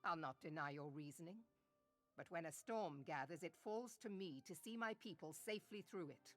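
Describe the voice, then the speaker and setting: A woman's voice: low voice, normal speed, a bit bright, faint music behind. An actress playing a resolute, proud woman admiral in a video game, with a formal, stately delivery. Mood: firm, defiant.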